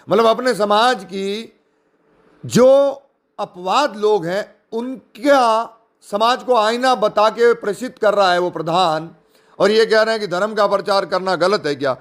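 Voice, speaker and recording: High-pitched, sarcastic, argumentative man. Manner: sarcastic, mocking; indignant, argumentative, rhetorical questions. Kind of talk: informal spoken rebuttal, addressing listeners directly.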